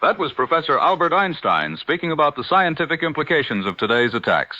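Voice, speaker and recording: An actor playing a grave 1940s radio news anchor, delivering a formal, urgent bulletin. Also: male voice, on the high side, big pitch swings, a little fast, bright, forceful tone, no room sound. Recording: dry, dull old radio broadcast sound.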